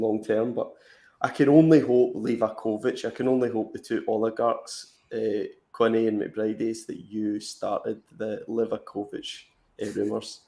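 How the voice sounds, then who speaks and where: Low flat-voiced man, echoey room. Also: gravelly. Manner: rambling, hesitant with many fillers and restarts. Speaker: fantasy football podcast co-host. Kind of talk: casual live stream chat.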